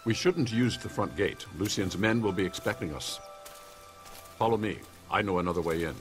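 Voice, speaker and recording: An actor playing a haughty, condescending mage with dry, formal delivery in a fantasy video game. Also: male voice, sits low, lively intonation, normal speed, in a large hall. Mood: matter-of-fact, assured. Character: haughty, dry-witted male mage, scholarly and arrogant. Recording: game music behind the voice.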